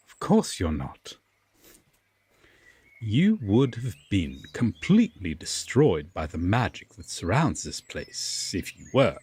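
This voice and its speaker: Haughty, reluctantly protective fae king played by a man: very slow, breathy, commanding delivery for fantasy audio drama. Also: low voice, a little mellow, dry recording, heavily acted. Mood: cold, suspicious. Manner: deliberate, commanding, drawn-out pauses, condescending.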